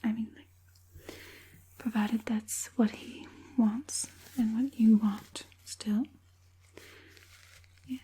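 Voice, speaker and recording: A woman playing a sinister, coaxing witch puppet master in a whispered ASMR roleplay, speaking softly and deliberately in a breathy whisper. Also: on the high side, fairly even intonation, heavily acted. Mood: calm, scheming. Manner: slow, soothing, deliberate, menacingly sweet.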